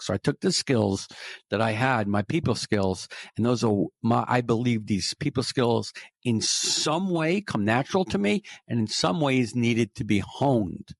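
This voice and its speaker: A man's voice: fairly low pitch, animated, moderate pace, gravelly, no echo. A middle-aged man with a New York accent, a sales trainer speaking as a podcast guest in an emphatic, coaching way that repeats key phrases. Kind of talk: conversational interview.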